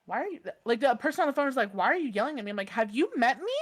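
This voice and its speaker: Loud, blunt young woman with a high voice. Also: racing. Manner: blunt, swearing freely, lively retellings. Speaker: podcast co-host. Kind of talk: casual chat.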